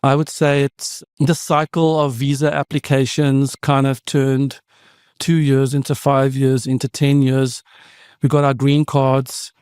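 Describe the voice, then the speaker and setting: A man's voice: mid-range voice, flat delivery, measured pace, darkish tone, clean tone, dry recording, studio quality. South African man telling stories. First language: Afrikaans. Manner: reflective, meandering storyteller with frequent asides. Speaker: podcast guest, tech founder. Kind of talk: conversational interview storytelling.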